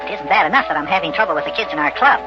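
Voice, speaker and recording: A man's voice: on the high side, animated, very fast, music in the background, pitched above the performer's own voice. A man voicing a fretful little elf boy in an old-time radio comedy, his voice sped up, muttering and protesting. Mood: dismayed, fretful. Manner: hesitant muttering, exclamatory protests. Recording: dull vintage radio recording.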